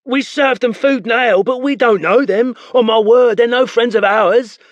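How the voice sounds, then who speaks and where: A man's voice: very high voice, moderate pace, no room sound, muffled recording, pitched above the performer's own voice. A man voicing a frightened, plump alehouse keeper pleading with soldiers. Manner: pleading, frantic.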